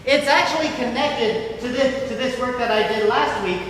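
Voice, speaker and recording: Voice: medium pitch, moderate pace, fairly bright tone, close to an everyday voice. Eccentric young painter, played by an amateur stage actor, theatrically polite and cheeky, heard from far off in an echoing hall. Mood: earnest. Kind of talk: amateur stage play acting. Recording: echoey hall, distant mic, noisy.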